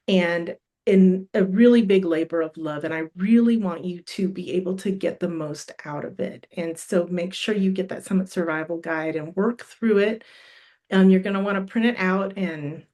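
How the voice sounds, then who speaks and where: A woman's voice: on the low side, dark tone. A middle-aged woman with a cold, losing her voice, hosts a live online summit in a friendly, encouraging way with many ums and restarts. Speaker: summit host. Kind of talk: live stream announcements.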